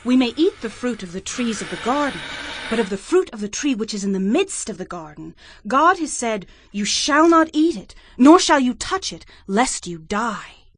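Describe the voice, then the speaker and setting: A woman's voice: fairly high pitch, big pitch swings, normal speed. A woman playing the innocent first woman in a dramatized scripture recording, earnest and dramatic.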